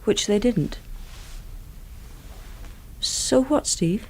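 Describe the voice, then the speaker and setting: An actress playing a flippant, hard-hearted young housekeeper in a radio mystery, talking bluntly and casually. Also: female voice, mid-range voice, expressive, extremely slow, darkish tone, husky, heavily acted. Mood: flippant, defensive. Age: young adult. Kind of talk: radio mystery drama acting.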